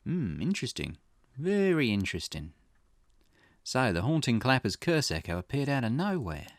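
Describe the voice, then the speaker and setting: A man's voice: mid-range voice, big pitch swings, normal speed, no room sound, voice pushed up. A man voicing a dry, inquisitive, businesslike male detective in dialogue read from a visual novel. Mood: curious, probing. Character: male private investigator, wry, inquisitive and businesslike.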